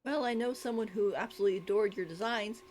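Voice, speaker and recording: A woman's voice: mid-range voice, fast talker, a little mellow. A woman giving casual, off-the-cuff live commentary as she streams a game.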